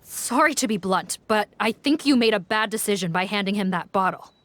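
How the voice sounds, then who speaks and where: A woman's voice: mid-range voice, lively intonation, a little fast, a bit bright, no echo, close to an everyday voice. A woman playing a formal, blunt officer. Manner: formal, direct, businesslike. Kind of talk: video game voice acting.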